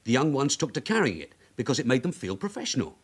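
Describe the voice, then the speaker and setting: Brisk, shrewd veteran police sergeant. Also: male voice, mid-range voice, very animated, breathy edge. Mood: urgent, determined. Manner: brisk, persuasive, controlled. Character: shrewd veteran London detective sergeant, wily and insistent. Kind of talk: radio crime drama acting.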